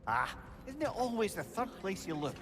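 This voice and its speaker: A man voicing a wry, grumbling, quick-witted travelling companion in a video game, sardonic and colourful. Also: high-pitched, big pitch swings. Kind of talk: video game drama acting.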